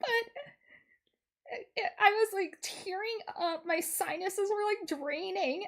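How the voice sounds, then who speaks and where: A woman livestreamer chatting casually and telling stories in an animated, giggly, expressive way. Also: extremely high pitch, slow pace. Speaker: streamer, VTuber host.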